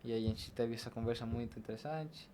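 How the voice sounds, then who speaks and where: A young Brazilian man speaks as a podcast guest in a medium-pitched voice. He recounts personal experiences casually, slipping into quoted dialogue as he goes. There is noticeable background noise around him. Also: quick pace, dark tone. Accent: Brazilian Portuguese. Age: young adult. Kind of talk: casual personal storytelling in an interview.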